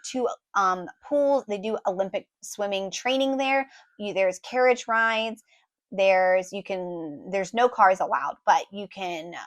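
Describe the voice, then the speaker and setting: Hoarse chatty woman vlogger. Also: medium pitch, a bit bright. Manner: chatty, many ums and likes, restarts. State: hoarse, voice recovering. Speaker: travel vlogger, mother of four. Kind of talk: casual travel recap to camera.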